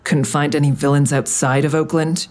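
An actress playing a hard-edged, skeptical woman interrogating an enemy in an audio drama, with a husky voice and terse, pointed, scornful questions. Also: deep voice, little pitch movement, normal speed, a little mellow, lightly acted. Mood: sardonic. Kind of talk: full-cast audio drama acting.

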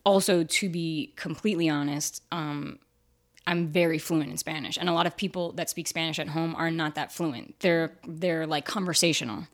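A young woman with a raspy, husky voice that sits a little low for a woman. She talks candidly and casually about her work, full of fillers and asides, as a guest in an interview. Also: steady tone, moderate pace. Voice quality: raspy, fairly deep voice. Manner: candid and chatty, with frequent fillers and laughs.

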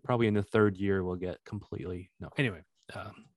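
A man's voice: deep voice, steady tone, fast talker, no room sound, clean studio sound. A man with a Missouri accent hosting a sports podcast in a rambling, joking, conversational way. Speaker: podcast host. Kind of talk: casual sports podcast chat.

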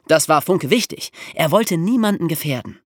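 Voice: mid-range voice, animated, normal speed, no room sound, studio quality. A podcast host telling a story in a colloquial, conversational way, with rhetorical questions. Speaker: podcast host telling true crime stories.

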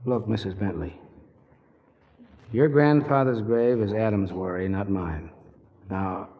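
An actor playing a dogged, wisecracking investigator in a 1940s radio drama, wry and insistent. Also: male voice, on the low side, takes it slowly. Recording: dull 1940s radio recording, reverberant studio.

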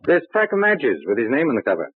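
An actor playing a shrewd, wry police inspector who questions in a dry, clipped way, in an old-time radio crime drama. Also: male voice, mid-range voice, huge pitch swings, quick pace, breathy edge, no echo. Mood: dry, probing. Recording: muffled, phone-like vintage radio recording.

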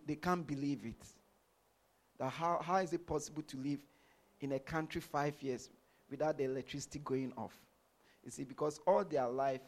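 A man's voice: fairly high pitch, darkish tone. A man from Ghana preaching a sermon as pastor, saying amen after nearly every sentence.

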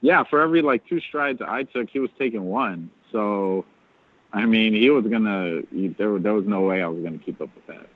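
A man's voice: on the low side, normal speed, dry recording. A man chatting casually as a podcast guest, telling self-deprecating stories. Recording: tinny phone-like line.

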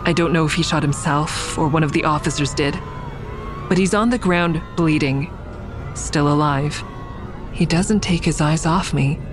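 A woman playing a guarded, tense heroine in an audio drama, telling her story in a measured, deliberate, suspenseful way. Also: low voice, music in the background, natural voice. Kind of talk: first-person audio drama narration and dialogue.

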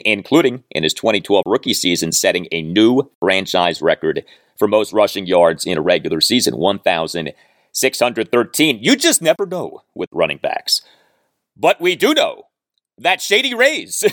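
A man hosting a solo sports podcast, speaking in an emphatic, deliberate way as he delivers commentary and reads. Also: low voice, fairly bright tone, no room sound, clean studio sound. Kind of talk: solo sports commentary and host-read ads.

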